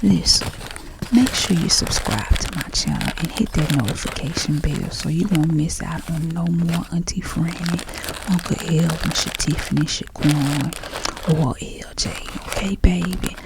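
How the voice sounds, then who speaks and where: A woman with a Southern US accent doing chatty ASMR, speaking softly and half-whispering like a folksy auntie giving advice. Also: deep voice, unhurried, creaky, background noise. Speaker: ASMR creator packing orders.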